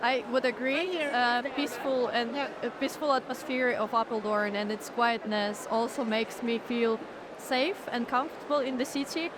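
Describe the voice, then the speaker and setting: A woman with a slightly high voice, speaking in a calm, considered way. Clear noise sits under the recording. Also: moderate pace. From Moscow, Russia. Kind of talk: casual interview answers.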